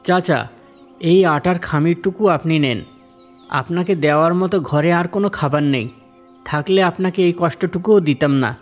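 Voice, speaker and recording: A man voicing a poor, patient, devout and generous husband in a moral-tale cartoon, speaking softly and humbly. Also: on the high side, moderate pace, soft background music, natural voice. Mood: kind, apologetic.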